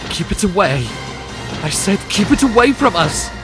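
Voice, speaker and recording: A man's voice: high-pitched, sing-song, normal speed, music in the background. A man playing a folksy, resourceful working man with a rebel streak in an audio drama. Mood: defiant. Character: rough kindly rebel workman, defiant, resourceful.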